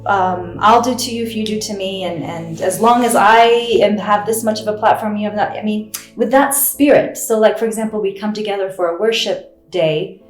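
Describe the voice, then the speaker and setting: A woman of American parentage, raised in the Middle East, sharing an earnest and passionate testimony. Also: mid-range voice. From grew up in the Middle East to American parents. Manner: passionate, emphatic, building intensity. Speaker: guest. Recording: roomy echo with soft background music.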